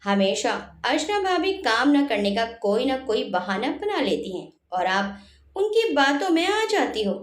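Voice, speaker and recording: A woman's voice: high voice, very animated, measured pace. A woman voicing a blunt, suspicious young sister-in-law in a family story, emphatic and reproachful. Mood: blunt, scolding. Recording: roomy echo on the voice.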